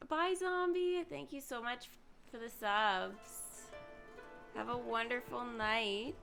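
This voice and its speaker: A woman's voice: on the high side, slow pace, gravelly. A woman reacting casually as she live-streams a video game. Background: soft game music.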